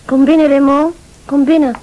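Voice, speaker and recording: A fragile, anxious wife played by a woman in a radio thriller. She is ailing yet stubborn, with a soft-edged mellow timbre and emotional delivery over slight noise. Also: high voice, fairly even intonation, normal speed, lo-fi sound, lightly acted. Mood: insistent. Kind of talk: Flemish radio thriller acting.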